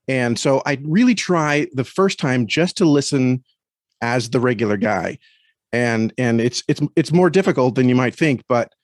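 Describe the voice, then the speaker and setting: A man with a mid-range voice speaks as a podcast guest in a dry recording. He is earnest and reflective, feeling his way haltingly through casual conversation. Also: medium pitch, no echo. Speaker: podcast guest and story critic. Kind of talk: casual interview talk.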